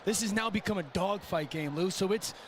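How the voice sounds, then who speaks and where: A man's voice: high voice, fairly quick. A man giving live analytical colour commentary as a television football analyst. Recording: game broadcast with clear background noise. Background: stadium game noise.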